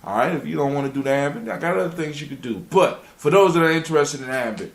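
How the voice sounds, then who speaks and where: A man's voice: on the high side, fast, creaky. A man hosting a radio show in a casual, rambling way, repeating phrases as he finds his words. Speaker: radio show and podcast host. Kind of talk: casual live show chat and sign-off. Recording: roomy echo, faint music.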